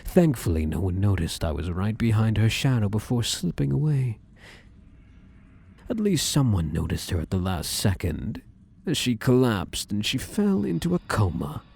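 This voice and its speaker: A possessive shadow creature in male form, acted by a man, speaks in a low, dark murmur that rises and dips freely. He is domineering and obsessively devoted, coaxing and commanding up close in an intimate ASMR roleplay. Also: sing-song, normal speed, exaggerated voice. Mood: menacing, jealous. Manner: intimate, coaxing, commanding, slipping into breathy whispers.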